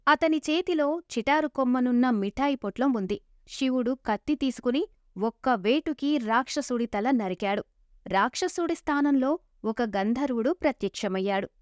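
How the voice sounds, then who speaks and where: A woman's voice: high-pitched, animated, moderate pace, darkish tone, no room sound, studio quality. A woman narrating a scripted moral story as a storyteller. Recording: dull lo-fi sound.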